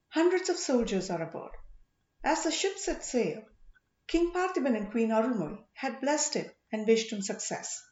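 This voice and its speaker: A woman narrating an audiobook story dramatically, in measured short phrases with emphasis. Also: a little high, a little mellow. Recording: echoey room, slightly muffled sound.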